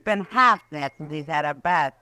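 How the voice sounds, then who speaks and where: A woman's voice: sits low, lively intonation, normal speed, sharp tone, no room sound. An actress playing a teasing, flirtatious frontier saloon woman in a radio western, with an American accent and a playful, needling manner. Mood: curious, sly. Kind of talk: old-time radio western acting.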